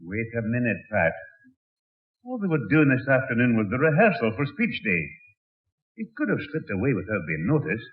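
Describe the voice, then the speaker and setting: A man plays a stern old grandfather who scolds and gives orders. His blustering voice moves widely in pitch. It is 1960s radio sitcom acting on a tinny recording. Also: big pitch swings, normal speed, natural voice. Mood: suspicious, indignant. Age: older. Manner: scolding, commanding.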